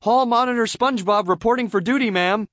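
A man voicing an eager, self-important cartoon sea sponge boy in grand, over-excited declarations for a children's storybook. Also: very high voice, a little fast, no echo, clean studio sound, voice pushed up. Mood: eager, dutiful.

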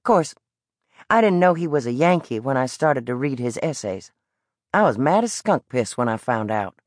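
A woman's voice: extremely low pitch, sing-song, normal speed, no echo, clean studio sound, full character voice. A woman voicing a defiant, bookish young boy with a Southern accent and a blunt, stubborn way of talking. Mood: earnest.

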